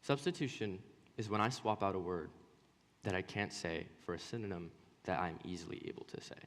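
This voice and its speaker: A young man with a low voice gives a personal student speech on stage. He stutters, pausing and repeating words as he goes, and his voice rings with the long reverb of a hall. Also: a bit bright. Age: young adult. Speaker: student presenter.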